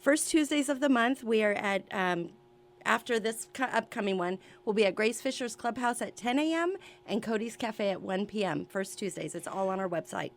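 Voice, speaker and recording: A woman's voice: medium pitch, normal speed. A woman speaking as a guest on a radio show, giving information about events in conversational interview talk. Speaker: radio show guest, senior seminar organizer.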